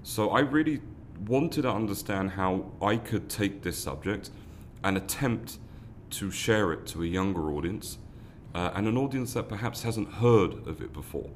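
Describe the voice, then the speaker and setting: A man's voice: sits low, animated, moderate pace, few pauses, a little hiss or hum. A man, a foundation founder giving earnest interview answers, often restarting and repeating words. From London, England. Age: 30s.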